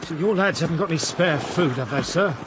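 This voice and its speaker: An actor playing a hungry common soldier in a radio drama, respectful but complaining. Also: male voice, fairly high pitch, expressive, a little fast, background noise, close to an everyday voice. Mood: aggrieved. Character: hungry, aggrieved rank-and-file soldier.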